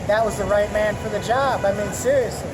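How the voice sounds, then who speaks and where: High-voiced man rambling earnestly. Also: brisk. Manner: earnest, rambling, thinks out loud. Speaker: interviewed fan. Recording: loud noise behind.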